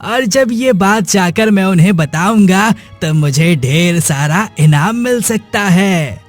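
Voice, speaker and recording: Male actor as greedy cartoon villager: high voice, slow, scheming self-talk. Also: animated, soft background music. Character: greedy, scheming village man who spies. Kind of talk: Hindi cartoon moral story acting.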